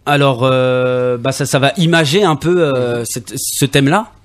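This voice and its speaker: A man who is a rap artist, guest on a live radio show, speaking softly and hesitantly with many fillers. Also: medium pitch, a little slow. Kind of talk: relaxed radio interview chat. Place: radio studio. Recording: live radio broadcast with music behind. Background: music playing behind.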